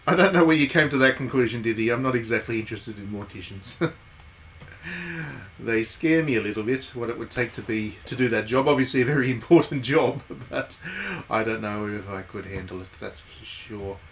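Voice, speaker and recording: A man's voice: medium pitch, a little fast, slight background noise. A man giving chatty, jokey livestream commentary on a game, talking to his viewers. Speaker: game streamer, reviewer. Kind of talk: casual livestream commentary, reading game text aloud.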